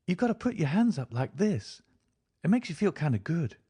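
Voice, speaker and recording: Male narrator putting on a small frontier girl, high sing-song kid voice, big swoops, plain folksy talk. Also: sing-song, moderate pace, warm tone, husky, dry recording. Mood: eager. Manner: rustic dialect, high childlike lilt. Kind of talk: audiobook character voice.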